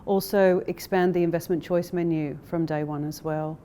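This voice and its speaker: A woman speaking as a company director in a corporate interview, explaining things in a measured way. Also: lowish voice, fairly even intonation, mellow, slight background noise. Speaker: interviewee, managing director of an investment firm.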